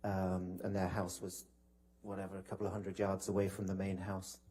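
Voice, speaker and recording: A man's voice: deep voice, monotone, normal speed, dark tone, slightly rough. A man testifying as a witness in court, recounting from memory in polite, hesitant, broken phrases.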